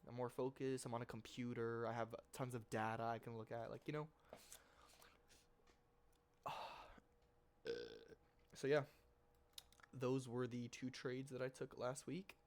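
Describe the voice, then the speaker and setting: A man's voice: mid-range voice, flat delivery, measured pace, long pauses, a little hiss or hum. A man with a stuffy nose from a cold, host of a trading channel, talking through his trades in a loose, rambling, slangy way that keeps correcting itself. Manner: slangy and informal, mild swearing. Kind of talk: casual screen-share trade recap.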